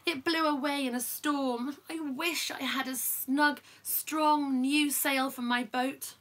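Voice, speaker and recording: A woman doing a forlorn, high little goat. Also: steady tone, slow pace, fairly bright tone. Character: forlorn goat who lost its sail.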